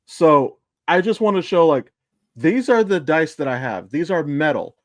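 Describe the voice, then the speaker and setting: A man's voice: on the high side, animated, normal speed, dry recording. A middle-aged man hosting a podcast in casual chat, rambling and swearing freely as he tells stories. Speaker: podcast host.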